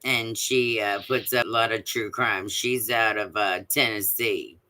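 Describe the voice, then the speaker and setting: A woman with a deep voice chatting casually on a live stream, rambling with many fillers and restarts. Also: steady tone, a little slow, sharp tone, a little hiss or hum. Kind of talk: casual chat.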